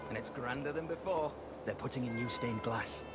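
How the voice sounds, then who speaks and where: A man acting a townsfolk character in a dark medieval fantasy video game. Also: mid-range voice, normal speed, close to an everyday voice. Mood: observing. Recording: game dialogue under loud music. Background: loud music.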